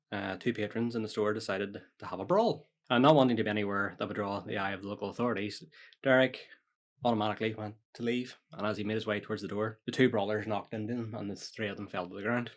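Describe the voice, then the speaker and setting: Male podcast storyteller, low-pitched, quick pace, casual delivery of prepared material. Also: steady tone. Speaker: podcast host telling true-or-false stories.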